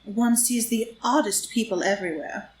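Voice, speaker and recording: A sly society adventuress, played by a woman, poised and polite with veiled barbs. Her voice is fairly high, in a roomy play reading with some background noise. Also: normal speed, a little mellow, lightly acted. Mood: smooth, polite. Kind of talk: group play reading for audio drama. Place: live in-person group reading in a room.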